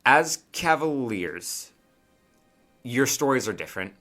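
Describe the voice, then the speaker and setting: A man improvising narration as game master of a tabletop game, conversational and halting, often checking in with the players. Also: mid-range voice, big pitch swings, bright, forceful tone. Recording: music behind the voice.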